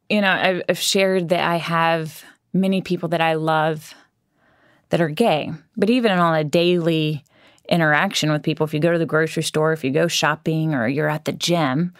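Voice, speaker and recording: Woman podcast host, low gravelly voice, earnest chatty delivery, dry close sound. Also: no room sound. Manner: earnest, conversational, with fillers and restarts. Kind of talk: faith podcast monologue.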